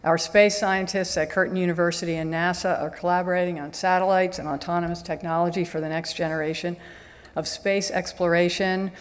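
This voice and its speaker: Soft-spoken woman, echoey hall. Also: fairly low pitch, fairly even intonation. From United States. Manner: soft-spoken, measured, with frequent hesitant ums. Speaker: ambassador giving welcome remarks. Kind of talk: formal public address. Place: large stadium event venue. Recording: echoey large event hall.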